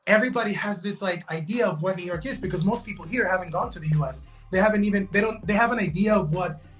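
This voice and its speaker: A man's voice: high-pitched, fast, fairly bright tone, soft background music. A young man from Guatemala, raised in Los Angeles, chatting as a guest on a video call, talkative, restarting sentences and saying "bro" and "man.". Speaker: guest, digital fashion designer. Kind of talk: casual interview chat.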